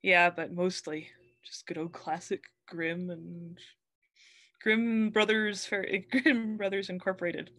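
A woman's voice: lowish voice, level tone, slow pace, fairly bright tone. Thoughtful woman in her thirties, hesitant chat. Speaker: guest author. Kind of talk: casual chat. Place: room at home, video call. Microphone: wired earbuds headset.

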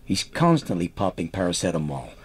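An actor playing an irritable, exacting middle-aged film director in a radio drama, curt and demanding. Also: male voice, sits low, big pitch swings, brisk, slightly rough, exaggerated voice. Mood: suspicious, insistent.

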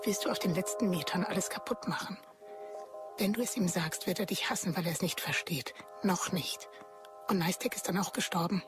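A woman playing a determined, secretive activist, intense and hushed, in an audio drama. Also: on the low side, fairly quick, lightly acted. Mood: urgent, resolute. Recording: music behind the voice.

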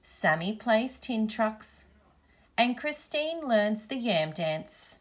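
A woman reading a children's picture book aloud in measured, deliberate phrases. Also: on the high side, big pitch swings. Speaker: storybook reader, teacher.

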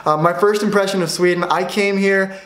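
A man's voice: high voice, steady tone, brisk, a bit bright. A young American man hosting a casual vlog Q&A from a small room at home, upbeat and chatty, gesturing as he talks. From United States. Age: young adult. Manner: upbeat, chatty, gestures a lot with his hands. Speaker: vlogger. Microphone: camera in front, head and shoulders framing. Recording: roomy echo.

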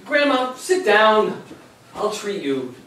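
A man's voice: sits high, very animated, slow, pushed and bright, heavily acted. A young man playing a dutiful, exasperated grandson in a live comic stage play. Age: young adult. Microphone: distant camera microphone in the audience. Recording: very echoey, distant, noisy stage recording.

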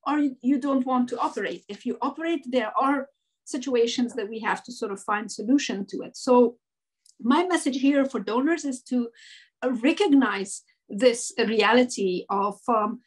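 Woman from Afghanistan, forties, panel guest, earnest and halting, fairly high voice, video call. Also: rough recording. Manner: earnest, hesitant with fillers and restarts. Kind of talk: online panel discussion. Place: home room with bookshelves.

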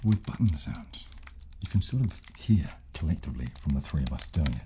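A man in his thirties hosting a soft-spoken, casual ASMR tutorial in an unhurried, hushed voice that often slips into whispers, close on the mic. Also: rumbling low, animated. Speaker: host and ASMR instructor. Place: small furnished room, indoors. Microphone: desk condenser microphone, close up. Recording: close-mic with clicking and crinkling handling noise. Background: button clicks, crinkling.

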